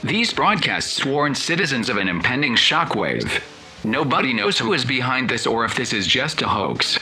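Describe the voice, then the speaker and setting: A man's voice: medium pitch, sing-song, normal speed, pushed and bright, full character voice. A man playing a television news anchor, reading a scripted special report in a formal, matter-of-fact way. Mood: serious, matter-of-fact.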